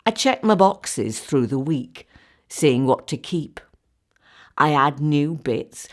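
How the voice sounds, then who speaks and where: A thrifty British market-stall woman in middle age, telling her comic rhyming monologue. Her voice sits on the low side and swings with a lively lilt. She takes her time, chatty and confiding, cheerful about her bargains. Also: full character voice. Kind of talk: comedy monologue poem, radio performance.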